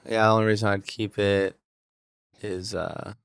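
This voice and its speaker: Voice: low-pitched, flat delivery, normal speed, vocal fry, clean tone. A person in casual conversation, speaking in a hesitant, noncommittal way. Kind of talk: casual chat.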